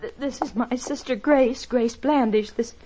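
A woman's voice: a little high, a little slow, mellow, slight background noise, close to an everyday voice. A woman playing a fluttery, flustered wife. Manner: flustered, stammering. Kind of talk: 1950s radio drama acting. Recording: dull old radio recording.

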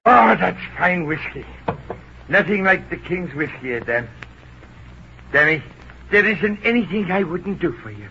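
An actor playing a blustering, guilty, hard-drinking Irishman who stammers and shouts, with an Irish accent, in old film drama style. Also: high voice, big pitch swings, unhurried, gravelly, long pauses, full character voice. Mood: drunken, rambling. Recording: dull, noisy old film soundtrack.

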